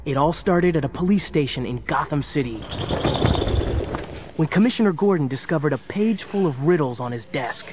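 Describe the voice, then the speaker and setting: An actor playing an eager young sidekick, narrating a children's audio drama in a dramatic scripted style. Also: male voice, fairly high pitch, lively intonation, normal speed, noisy background, natural voice. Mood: earnest storytelling. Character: eager young male sidekick telling case history.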